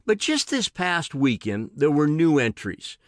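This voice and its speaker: A man in his sixties reads a personal essay he wrote. His voice is somewhat high for a man, recorded dry with no audible room. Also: wildly swooping pitch, measured pace, dry recording. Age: 60s. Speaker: essayist reading own work. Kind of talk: reading a personal essay aloud.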